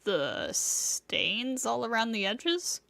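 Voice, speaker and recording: A woman's voice: fairly high pitch, big pitch swings, very slow, creaky, sharp tone, slight background noise. A woman chatting casually and reading aloud as she streams a video game. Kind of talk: casual chat and reading aloud while playing.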